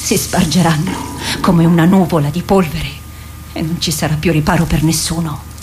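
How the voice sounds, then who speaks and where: A woman playing a grave, resigned female warrior with a measured delivery in a radio drama. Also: low-pitched, fairly even intonation, a little mellow, slight background noise, natural voice. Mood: bleak, wry. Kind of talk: Italian radio drama acting.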